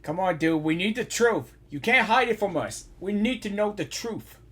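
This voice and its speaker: A man giving casual, excitable gaming reaction commentary, often repeating phrases as he blurts at the screen. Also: high-pitched, normal speed, slightly rough.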